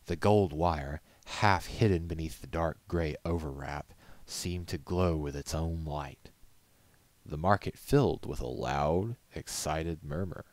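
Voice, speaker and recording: Low male voice, storybook narrator, amateur reader, very dry close sound. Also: slow pace, darkish tone, dry recording.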